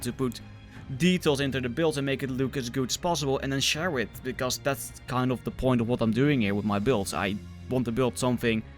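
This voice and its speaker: Young Dutch-accented man over background music. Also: mid-range voice. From Netherlands. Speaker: gaming video host. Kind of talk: casual gaming commentary.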